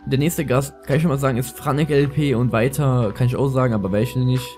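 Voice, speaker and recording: Lisping young man, mellow gameplay commentary. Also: mid-range voice, fairly even intonation, brisk. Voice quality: lisp. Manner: rambling, slangy. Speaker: let's player, host. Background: music.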